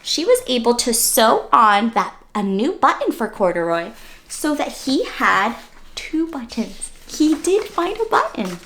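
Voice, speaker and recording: A woman reads a picture story aloud for children as host. Her voice is fairly high and swoops expressively, with unhurried pacing, exclaimed asides and questions to the listener. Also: big pitch swings, fairly bright tone.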